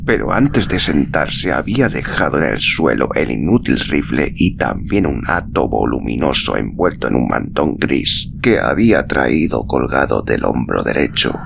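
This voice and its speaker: A man narrates literary fiction in an extremely low voice with heavy gravel. The delivery is slow and measured, with dramatic pauses and a lively pitch range. There is clear noise in the recording. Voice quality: deep, gravelly. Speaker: audiobook narrator.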